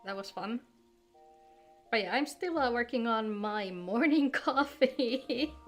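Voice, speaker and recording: A woman talks casually to the viewers of her game livestream. She is chatty and informal, with game music playing softly behind her. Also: fairly high pitch, lively intonation, takes it slowly. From Finland. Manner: chatty, greets viewers by name.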